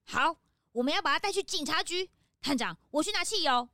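A woman playing an eager, excitable police sidekick in a children's audio drama, speaking in a bouncy, exclamatory way. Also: sits high, fairly quick, no room sound, studio quality.

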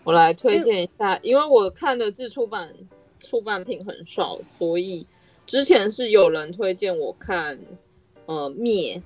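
A woman's voice: medium pitch, lively intonation, measured pace, no echo, soft background music. A woman with a Taiwanese Mandarin accent, hosting a casual podcast chat. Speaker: podcast host.

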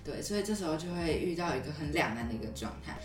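A woman with a low voice talks as a podcast host, explaining in a conversational, looping way. She sounds slightly distant in a roomy space, with music underneath. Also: animated, racing.

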